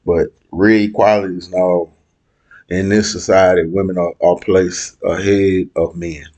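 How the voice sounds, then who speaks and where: A young man argues his side in a heated panel debate, blunt and full of slang. He talks slowly and hammers his points by repeating them. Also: low voice, flat delivery, a little mellow.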